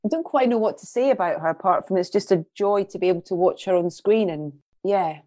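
A woman's voice: mid-range voice, fairly quick, mellow, no echo, clean studio sound. A woman hosting a casual film interview podcast, feeling her way through admiring questions.

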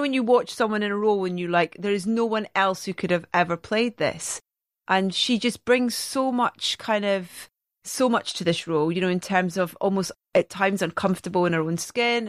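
A woman's voice: medium pitch, dry recording, clean studio sound. A woman with a Scottish accent interviewing filmmakers, rambling and searching for words as she asks questions. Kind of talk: film interview.

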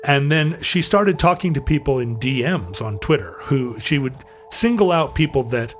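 A middle-aged man, co-hosting a podcast and telling stories casually in a halting, wry way. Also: medium pitch, animated, faint music behind. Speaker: podcast co-host. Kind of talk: casual conversational storytelling.

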